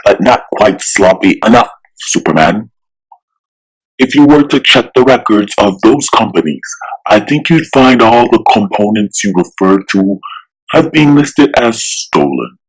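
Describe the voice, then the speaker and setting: A man voicing an arrogant, menacing billionaire villain in a pompous, deliberate, threatening way for a comic-book drama. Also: lowish voice, sing-song, husky, heavily acted, rough recording. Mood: smug.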